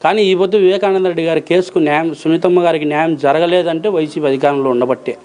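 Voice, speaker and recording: A man's voice: a little high, fairly even intonation, fast talker, darkish tone. A man with a Rayalaseema Telugu accent answering interview questions in a colloquial, emphatic and insistent way, repeating phrases and posing rhetorical questions. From Rayalaseema, Andhra Pradesh, India. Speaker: interview guest, approver witness in a criminal case.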